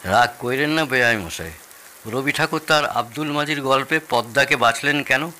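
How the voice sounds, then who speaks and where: Man playing a bright-voiced, boastful East Bengali father-in-law. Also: medium pitch, normal speed, lightly acted. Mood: boastful, teasing. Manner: theatrical, savouring, bragging. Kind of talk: audio drama acting.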